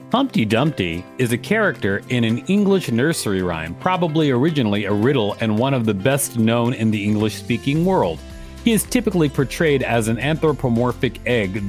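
A man's voice: medium pitch, sing-song, moderate pace, soft background music. A man hosting a podcast, reading reference text aloud and adding casual commentary. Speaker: podcast host.

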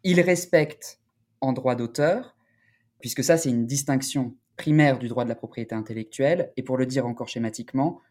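A man speaking as an academic researcher in an interview, explaining in a deliberate, halting, scholarly way. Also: mid-range voice, a little mellow.

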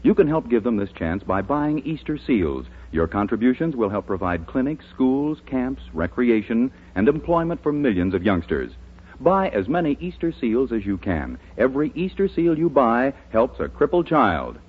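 A man's voice: mid-range voice, big pitch swings, normal speed, husky. An American man announcing scripted copy in the style of 1940s radio. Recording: dull 1940s radio broadcast.

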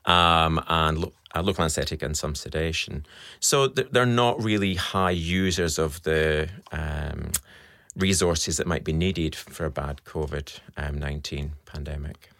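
A man with a Scottish accent, a fertility specialist answering interview questions in a measured, explanatory way with frequent ums. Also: very deep voice, gravelly, a bit bright, no echo, studio quality.

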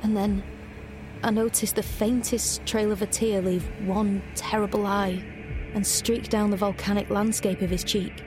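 A woman's voice: mid-range voice, little pitch movement, measured pace, natural voice, low-quality recording. A woman playing a reflective, wry young heroine, narrating her own story intimately in an audio drama. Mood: tense, wondering. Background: music.

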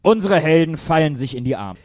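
Fairly high-voiced male radio play narrator. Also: sing-song, fairly quick, a little mellow.

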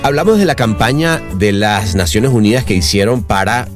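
A man's voice: fairly low pitch, animated, normal speed, faint music behind. A man giving a showy, playful scripted introduction as podcast host.

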